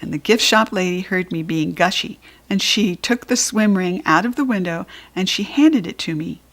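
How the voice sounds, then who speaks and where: A woman's voice: fairly low pitch, expressive, moderate pace, a bit bright. A woman with an American accent narrating a children's book expressively as a storybook reader.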